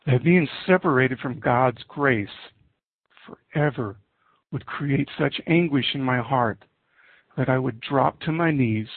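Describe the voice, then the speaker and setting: A middle-aged man preaching a sermon and reading scripture in an earnest, deliberate way with pauses. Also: medium pitch, slightly rough, no echo. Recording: muffled narrowband, phone-like audio.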